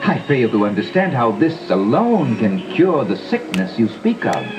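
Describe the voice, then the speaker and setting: A man playing a concerned, earnest gentleman in a gothic audio drama, theatrical in delivery, recorded on an old cassette. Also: medium pitch, big pitch swings, normal speed, highs cut off, lightly acted. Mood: urgent, puzzled. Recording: old cassette tape dub with music. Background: music.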